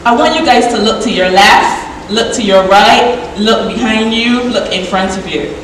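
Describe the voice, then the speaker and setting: A young woman with a Barbadian accent talks to a conference audience in a lively, chatty way. Her voice sits in the middle of the usual range. She is heard from a distance in a large, echoing hall with a long reverb and a faint hiss behind her. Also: mid-range voice, unhurried. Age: 20s. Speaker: conference speaker. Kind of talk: conference keynote talk. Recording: echoey hall from far off.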